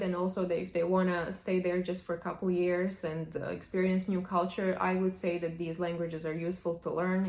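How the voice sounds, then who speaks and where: A woman's voice: on the low side, a little mellow. Woman giving language tips. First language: Serbian. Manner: chatty, opens many sentences with "however". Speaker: language vlogger. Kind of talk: casual advice to camera. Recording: echoey room.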